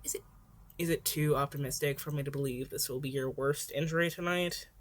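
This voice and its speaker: A woman's voice: sits low, steady tone, fairly quick. Woman playing a weary, exasperated male hero.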